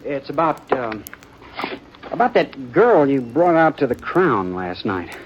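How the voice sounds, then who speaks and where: A man's voice: mid-range voice, very animated, unhurried, background noise. A man acting an earnest, lovestruck young man of the Old West in a radio drama, American, with hesitant, stammering speech. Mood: sheepish, hesitant. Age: young adult. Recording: muffled old radio broadcast.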